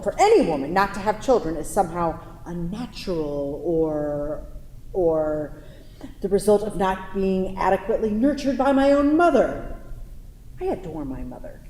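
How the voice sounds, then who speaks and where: A woman's voice: medium pitch, dark tone, natural voice. A woman playing a wounded, defiant woman leaving her lover, in a staged play reading in an echoey hall with faint noise. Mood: angry.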